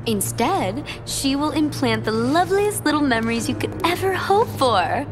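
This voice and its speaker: A woman's voice: sits high, very animated, normal speed. Sneering female villain. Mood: taunting. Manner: taunting, sneering, condescending. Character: cruel mocking young female villain. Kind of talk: video game cutscene acting. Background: game music.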